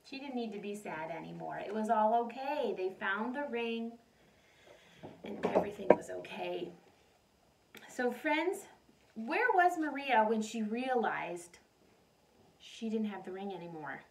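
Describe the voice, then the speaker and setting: A woman reading a storybook aloud to children in an expressive, animated way. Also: mid-range voice, a little slow, gravelly, long pauses. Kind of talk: expressive storybook read-aloud with questions to listeners. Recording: roomy echo, distant mic.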